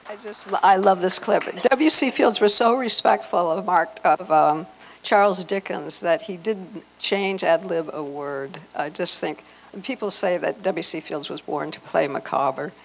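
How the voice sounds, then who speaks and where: A woman's voice: fairly low pitch, unhurried, creaky, poor audio. An older woman introducing things to a live audience as an event host, on a handheld microphone. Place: hotel meeting room, indoors. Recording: faint room noise.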